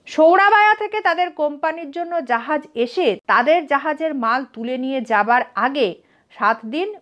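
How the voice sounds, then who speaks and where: High-voiced Bengali woman narrating a story. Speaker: storyteller reading a literary adventure story. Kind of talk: scripted dramatic narration of a story.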